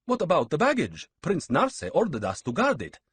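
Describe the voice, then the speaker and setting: A man's voice: a little high, wildly swooping pitch, normal speed, fairly bright tone, husky, no room sound, pitched above the performer's own voice, heavily acted. A man voicing a pious, evasive male Persian priest in a raised, sing-song voice.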